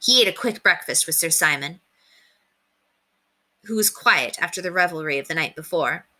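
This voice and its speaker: A woman reading a children's book aloud as an expressive storyteller. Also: deep voice, normal speed.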